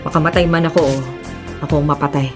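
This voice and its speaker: A woman's voice: deep voice, steady tone, fast talker, music in the background, close to an everyday voice. A woman playing a determined, dutiful police officer in a radio drama, firm and resolute in her delivery.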